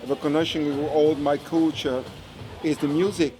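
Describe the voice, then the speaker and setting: Man, halting speech. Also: a little high, steady tone, background noise. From Angola. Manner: halting, searching for words. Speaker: kizomba teacher. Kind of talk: interview. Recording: music and crowd behind.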